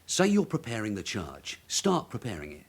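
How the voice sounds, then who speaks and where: Husky, low-voiced veteran detective. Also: male voice, wildly swooping pitch, moderate pace, heavily acted. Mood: firm, controlled. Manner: terse, probing questions and clipped orders. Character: shrewd veteran London detective sergeant, dry and pressing. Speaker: police detective in a radio drama. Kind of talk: naturalistic British radio drama acting.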